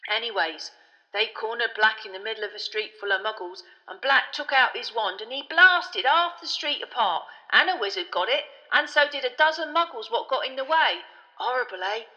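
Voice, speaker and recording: A woman's voice: fairly high pitch, lively intonation, normal speed, sharp tone, in a large hall, muffled recording, pitched above the performer's own voice. A woman voicing a chatty, easily spooked young male bus conductor in an audiobook, with colloquial speech and dramatic tag questions. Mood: gossipy. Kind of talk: audiobook character voice.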